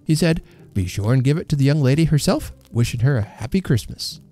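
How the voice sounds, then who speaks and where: A man voicing a quick-thinking working-class van driver in a story, with a rough, stern manner. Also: mid-range voice, expressive, dark tone, soft background music, close to an everyday voice. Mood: polite, vague.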